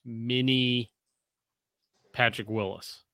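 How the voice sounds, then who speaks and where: A man in his forties hosts a podcast, rambling through a story in loose casual chat and cursing as he goes. His voice is bright and forward, and the sound is dry and close, with no room around it. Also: mid-range voice, steady tone, very slow, dry recording. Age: 40s. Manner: rambling storyteller, swears freely. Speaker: podcast host.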